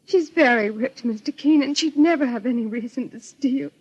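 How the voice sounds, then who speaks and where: Husky, anxious bereaved daughter. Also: female voice, sits high, normal speed, a little mellow, dry recording, lo-fi sound. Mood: earnest, troubled. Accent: American. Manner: earnest, polite, dramatic. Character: anxious, bereaved, well-bred daughter of a murdered man. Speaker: radio actress. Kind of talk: old-time radio melodrama acting.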